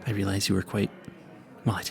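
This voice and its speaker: A man's voice: lowish voice, little pitch movement, brisk, vocal fry, a crowd in the background. A man playing a clueless, earnest vampire hunter in an audio roleplay, stammering and rambling in a politely formal, pleading way. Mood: sheepish, smitten. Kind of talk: intimate audio roleplay addressed to the listener.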